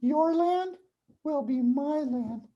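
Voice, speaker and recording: A woman voicing a devoted, gentle young daughter-in-law in a puppet show, speaking earnestly and deliberately. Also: high-pitched, dull audio. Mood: pleading, resolute. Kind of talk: children's puppet show dialogue.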